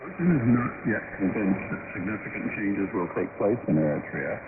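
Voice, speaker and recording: A man's voice: lowish voice, sing-song, normal speed, phone-quality sound, very noisy. A man narrating a scripted radio news report as a reporter, heard over a shortwave broadcast.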